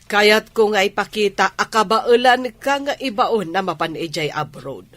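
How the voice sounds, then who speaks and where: A woman's voice: mid-range voice, expressive, moderate pace, a bit bright, dry recording, natural voice. A woman playing a determined mother in a radio drama, with an Ilocano Filipino accent. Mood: firm. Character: firm, determined mother sending her son abroad.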